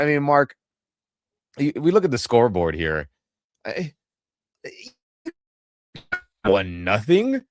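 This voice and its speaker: Podcast co-host with a head cold, nasal and irritated, pitch all over the place, dry sound. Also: male voice, dry recording. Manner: irritated, venting, animated. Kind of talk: casual sports reaction chat. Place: home in Los Angeles. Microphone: webcam setup.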